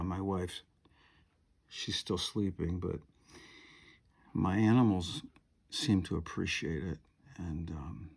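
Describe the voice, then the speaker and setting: Rambling older man, husky voice. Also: low-pitched, a little mellow. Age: 70s. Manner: chatty, meandering, many fillers and asides. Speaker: piano and harp player. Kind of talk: rambling monologue to camera. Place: home.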